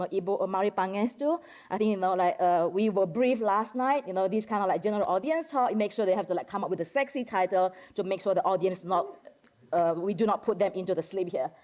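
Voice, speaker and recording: Woman, Indonesian accent, academic conference speaker, informal presentation, conversational, halting phrasing, frequent casual fillers. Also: mid-range voice, darkish tone. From Medan, Indonesia. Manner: conversational and halting, peppered with "like" and "you know". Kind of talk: informal economics presentation to a general audience.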